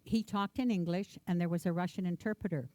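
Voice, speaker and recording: A woman's voice: fairly low pitch, brisk, mellow, no room sound. A woman minister, a native Ukrainian speaker, gives an earnest, reflective personal sermon in a church, repeating words for emphasis.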